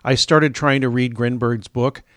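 A man hosting a casual podcast conversation, relaxed and thinking aloud. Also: mid-range voice, measured pace, no room sound, studio quality.

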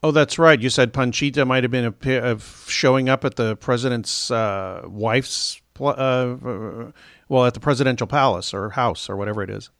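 A man hosting a casual podcast conversation, relaxed and thinking aloud. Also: mid-range voice, normal speed, no room sound, clean studio sound.

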